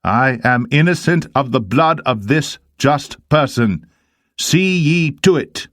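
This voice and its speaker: Man acting an exasperated Roman governor, voice raised high. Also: lively intonation, takes it slowly, fairly bright tone, no room sound, clean studio sound. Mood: firm disavowal. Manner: raised, declamatory. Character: Roman governor questioning a crowd, exasperated and insistent. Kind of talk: dramatised scripture reading.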